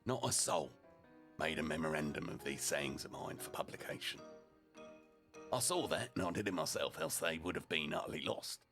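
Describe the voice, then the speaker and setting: A male storyteller with a very deep voice and heavy creak reads a comic first-person tale. His phrasing is deliberate, broken by profane asides. Soft music sits behind him. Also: expressive. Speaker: storyteller, streamer narrating in character as a deputy. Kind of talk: humorous story reading, dramatic first-person.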